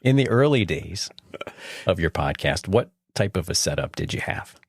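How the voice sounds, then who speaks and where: A man's voice: low-pitched, big pitch swings, moderate pace, vocal fry, husky, no room sound, studio quality. A man co-hosting a casual podcast interview, friendly and a little rambling. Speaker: podcast co-host.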